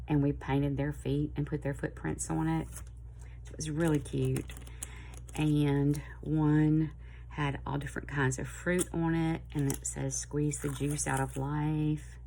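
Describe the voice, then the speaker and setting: A middle-aged woman with a Southern US accent, chatting casually and a little hoarse, in a rambling, friendly way. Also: deep voice, warm tone, a little hiss or hum. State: slightly hoarse. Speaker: planner vlogger. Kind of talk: casual chat to camera.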